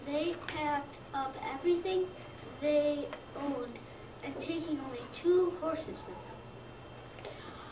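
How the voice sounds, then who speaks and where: Little kid reading out loud for readers theater, slow and careful, echoey distant noisy recording. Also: high voice. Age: child, about seven or eight. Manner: careful, halting read-aloud delivery. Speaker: second-grade student reader. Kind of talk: readers theater, reading a scripted narration aloud. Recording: roomy, distant mic, noisy background.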